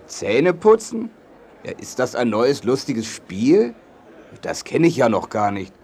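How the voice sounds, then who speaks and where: Ein Schauspieler spricht einen gütigen, besorgten und etwas naiven Märchenkönig in einem Kinderhörspiel, bedächtig und theatralisch staunend. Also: male voice, medium pitch, big pitch swings, slow pace, a little mellow, slight background noise. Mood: puzzled. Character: kindly, worried, naive king of a fairy-tale land. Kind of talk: children's audio drama.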